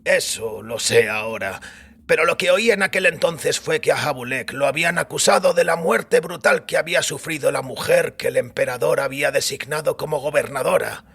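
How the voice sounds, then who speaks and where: Actor as a gravelly, raspy older man reminiscing. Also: on the high side, moderate pace, a bit bright, full character voice. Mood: narrating earnestly. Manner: reflective, wry storytelling. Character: weary older priest recounting his wild, drunken youth. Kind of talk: dramatised audiobook acting. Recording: music bed under the voice.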